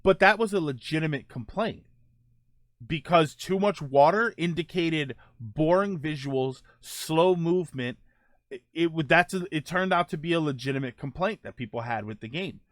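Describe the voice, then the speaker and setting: A man's voice: fairly high pitch, no echo. A man hosting a gaming livestream, talking casually and answering viewer comments, often rephrasing his points as he goes. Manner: halting, circles back and rephrases points.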